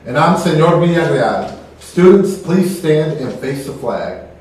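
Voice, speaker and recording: A man's voice: fairly high pitch, expressive, a little slow, darkish tone. A man from Texas co-hosting a school morning broadcast, reading in a friendly, plain way. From Texas, United States. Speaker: teacher co-hosting school announcements. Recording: echoey room, distant mic.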